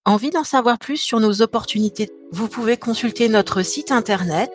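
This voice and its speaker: A woman's voice: fairly high pitch, moderate pace, faint music behind. A woman hosting a podcast interview, reading scripted questions in a polite, presenter-like way.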